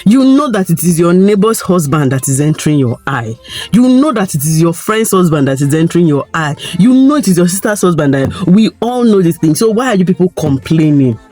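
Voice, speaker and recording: Croaky-voiced Nigerian woman vlogging. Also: on the low side, sing-song, fairly quick, mellow, soft background music. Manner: chatty and opinionated, rising to emphatic, rhetorical questions. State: croaky, tired voice. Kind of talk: casual opinion vlog.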